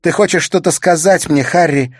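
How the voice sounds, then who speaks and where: A man's voice: a little high, normal speed, no echo, clean studio sound, pitched above the performer's own voice, close to an everyday voice. A man voicing a weary, caring woman in an audiobook, speaking with pleading concern. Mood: tired concern. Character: weary, caring woman comforting a troubled friend.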